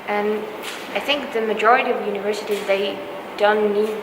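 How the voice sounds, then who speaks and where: A woman's voice: mid-range voice, steady tone, a little fast. A young woman from Belarus with an Eastern European accent chats casually, encouraging and reassuring listeners as an exchange student giving advice. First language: not English; Polish is second language. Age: young adult. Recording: echoey hall with loud background noise.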